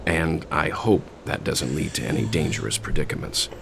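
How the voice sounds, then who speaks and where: A man playing a composed, thoughtful middle-aged gentleman in a game, speaking in a measured, articulate way. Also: very deep voice, gravelly, music in the background. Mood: concerned, reflective. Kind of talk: scripted game voice acting.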